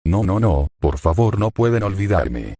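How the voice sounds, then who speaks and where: A man's voice: deep voice, very animated, measured pace, warm tone, breathy edge, no room sound, clean studio sound, full character voice. A man reading the lines of a cheerful, jokey young hero who turns desperate. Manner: jokey, then pleading.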